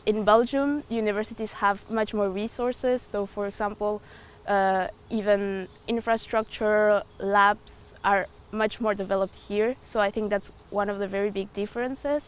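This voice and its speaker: A woman's voice: medium pitch, fairly even intonation, a little slow, clean tone. Woman in her twenties, hesitant. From Ecuador. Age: 20s. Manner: thoughtful, hesitant with frequent fillers. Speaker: student. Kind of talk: interview answers.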